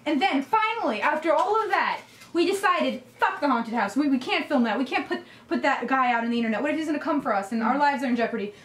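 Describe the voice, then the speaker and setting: A woman's voice: high voice, lively intonation, fast, fairly bright tone. A woman co-hosting a video channel, chipping into a casual improvised story with a playful, giggly manner. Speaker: co-host of a YouTube channel. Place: indoors, in a room set up for filming. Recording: roomy echo, faint noise.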